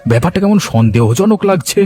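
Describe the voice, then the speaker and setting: A man voicing a henpecked, excitable village husband in a cartoon, flustered and suspicious. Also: fairly high pitch, quick pace. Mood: puzzled, suspicious. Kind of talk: cartoon voice acting.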